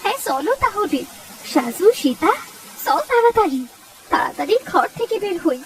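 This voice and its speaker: Woman acting a high-voiced, fretful village mother. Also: very animated, moderate pace, far from the mic, slight background noise, rough recording. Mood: alarmed. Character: anxious, scolding poor village mother. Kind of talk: cartoon voice acting.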